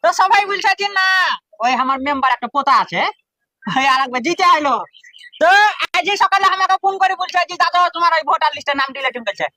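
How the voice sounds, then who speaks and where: A man's voice: very high voice, expressive, quick pace, sharp tone, slight background noise, exaggerated voice. A man playing a cranky, flustered very old village man in a comic skit, with a rural Birbhum Bengali accent and a grumbling, complaining manner. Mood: worried, confiding. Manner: grumbling, cursing, breathless complaining.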